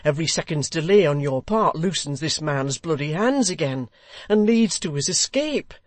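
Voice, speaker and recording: Woman putting on a grave, pressing gentleman for a storybook; dry, close read. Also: low-pitched, lively intonation, moderate pace, no echo, voice pushed up. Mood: urgent. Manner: earnest, solemn, pressing. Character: grave, urgent middle-aged gentleman seeking justice.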